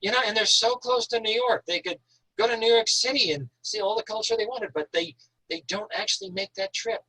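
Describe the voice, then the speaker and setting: A man's voice: high voice, sing-song, a little fast, sharp tone, breathy edge, low-quality recording. An American man from Northern Maine, an author reading prose and talking informally about writing. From Northern Maine, USA. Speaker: author reading and discussing their own thriller.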